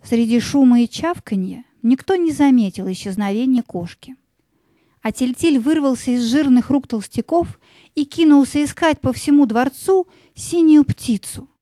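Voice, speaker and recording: A woman's voice: high-pitched, animated, moderate pace, dark tone, dry recording. A woman narrator telling a scripted fairy tale as part of an audio play.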